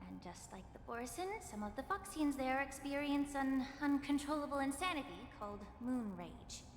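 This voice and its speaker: A woman playing a poised, coolly resolute game character, speaking formally and deliberately in an echoing space. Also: high-pitched, lively intonation, slight background noise. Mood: probing, thoughtful. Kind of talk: scripted video game voice acting. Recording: echoey hall reverb over clear music.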